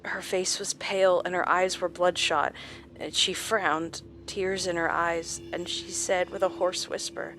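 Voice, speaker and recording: An actress playing a shaken woman recounting her captivity in a horror audio drama, halting and dramatic with deliberate pauses. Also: on the low side, steady tone, music in the background, lightly acted, poor audio. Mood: tense, haunted. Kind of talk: first-person horror audio drama narration.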